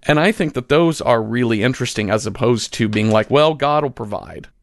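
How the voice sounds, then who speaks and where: A man in a casual, reflective interview as a guest, talking in a halting way as he thinks aloud. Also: mid-range voice, no echo, clean studio sound. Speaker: podcast guest, fiction writer.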